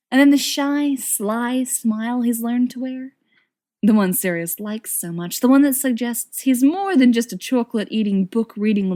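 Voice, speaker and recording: A woman reading a story aloud as an expressive scripted narration. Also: mid-range voice. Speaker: audiobook reader of fan fiction.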